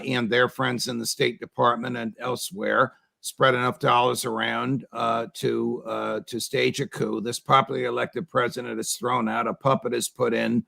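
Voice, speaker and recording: A male American interviewer with a heavily gravelled, fry-laden voice at a medium pitch. He lays out short statements deliberately and emphatically, then turns them into pointed, incredulous rhetorical questions. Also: pushed and bright. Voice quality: gravelly, creaky voice. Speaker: talk show host interviewing a guest.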